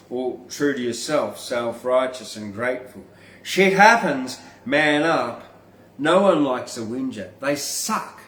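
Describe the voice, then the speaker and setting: A man performs spoken verse in a slow, deliberate way. His manner is informal and blunt, dropping into casual asides between lines. The sound is roomy, with a noticeable echo around the voice. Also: medium pitch, fairly bright tone, distant mic. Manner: informal, blunt, freely swearing. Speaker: podcast host and poet reading own verse. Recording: roomy, echoing room sound.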